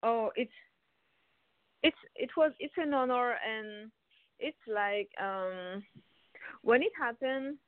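A young woman from France with a French accent, a violinist guest on a phone interview, speaking English haltingly and searching for words. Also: on the high side, clean tone, dry recording. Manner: hesitant, searching for words, many restarts. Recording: tinny phone line, dry.

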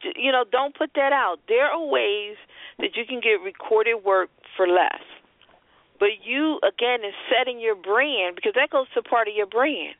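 A woman's voice: a little high, normal speed, no echo. A woman giving firm, explanatory advice in conversation on a call-in show. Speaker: co-host of a spoken word business show. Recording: thin telephone-line sound.

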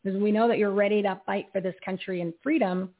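A woman's voice: mid-range voice, fairly even intonation, fast talker. A woman hosting a live radio talk show, rambling as she loses her train of thought and laughing at herself. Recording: tinny phone-like line.

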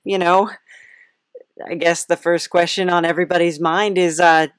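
A woman's voice: on the low side, steady tone, moderate pace, clean tone, no room sound, studio quality. A woman hosting a casual comic podcast interview in a hesitant, awkwardly chirpy, giggly manner. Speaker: podcast host.

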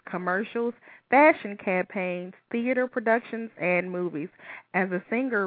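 A woman's voice: mid-range voice, no room sound. Woman hosting, muffled dry sound. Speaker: host. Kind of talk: talk show interview. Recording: muffled, slightly lo-fi, dry audio.

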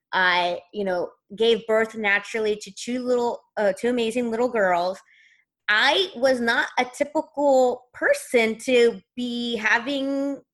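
A woman's voice: fairly high pitch, fairly bright tone. A woman in her thirties, a podcast guest, telling her own story in an earnest, conversational way. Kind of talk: personal storytelling in interview.

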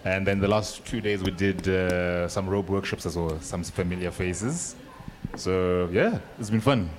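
Guy, really low voice, chill n wry, chatting on stage. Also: unhurried, slight background noise. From Harare, Zimbabwe. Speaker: panel guest, rope performer. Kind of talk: casual chat on stage. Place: live event with an audience.

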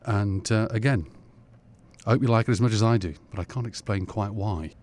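A male radio host with a very low voice introduces tracks in a loose, rambling way, stopping often to search for words. A faint hiss of ambient noise sits under his voice. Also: darkish tone. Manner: rambling, halting, many fillers. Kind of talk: casual music introductions.

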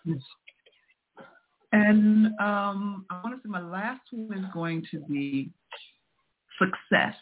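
A man's voice: sits high, takes it slowly, pauses often. A man hosting a show in a casual, chatty style. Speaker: show host. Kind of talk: casual chat. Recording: dull lo-fi, faint noise.

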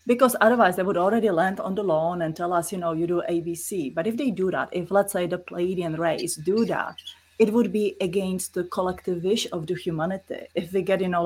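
A woman's voice: lowish voice, slightly rough, low-quality recording. A middle-aged woman with a Czech accent, earnestly explaining spiritual experiences as an interview guest in non-native English.

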